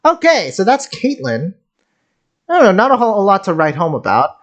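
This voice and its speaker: A host talking casually and a little haltingly, reacting to a video game as commentary. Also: medium pitch, wildly swooping pitch. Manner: halting, hesitant, giggly.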